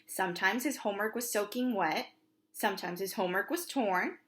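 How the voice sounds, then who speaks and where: A woman's voice: medium pitch, a little fast. A woman preschool teacher reads a storybook aloud for young children, expressively, with simple asides to her listeners.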